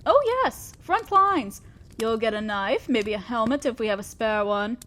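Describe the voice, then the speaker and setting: A woman's voice: fairly high pitch, animated, unhurried, sharp tone. A woman playing a fair-minded but ambitious demon lord boss in a scripted audio drama, speaking formally and directly to an underling, with a sly teasing streak.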